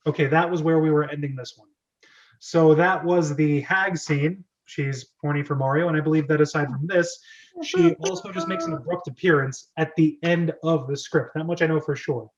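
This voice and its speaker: Male host for a casual table read: highish, lively voice, playful asides and self-corrections, lo-fi audio. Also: moderate pace. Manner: playful, self-interrupting, chatty. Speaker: host and narrator of script reading club. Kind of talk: casual amateur script reading with commentary.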